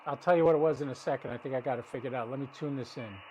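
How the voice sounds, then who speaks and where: A man's voice: medium pitch, racing, warm tone, muffled recording, a little hiss or hum. A man giving casual live commentary as a radio stream host, thinking aloud and explaining as he goes, often restarting his sentences. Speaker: ham radio livestream host.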